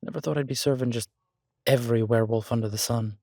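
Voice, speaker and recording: A man acts out a bashful, loving young chef in a boyfriend roleplay drama. His mid-pitched voice is slightly husky and recorded very dry. He talks haltingly and puts himself down with gentle, affectionate banter. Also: steady tone, dry recording. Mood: wry, gossipy. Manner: halting, self-deprecating, affectionate, chuckling banter. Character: Beau, warm, shy, flustered male chef and devoted boyfriend. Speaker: audio roleplay performer. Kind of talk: intimate boyfriend roleplay audio drama, conversational.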